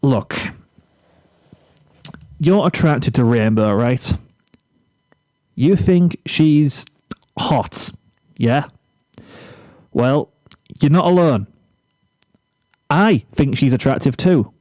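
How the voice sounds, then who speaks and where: A man's voice: mid-range voice, animated, darkish tone, dry recording. Man as firm, exasperated teenage boy. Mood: firm, reasoning. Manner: firm, emphatic, deliberate. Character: exasperated, blunt teenage boy lecturing a schoolmate. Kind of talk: storybook character dialogue.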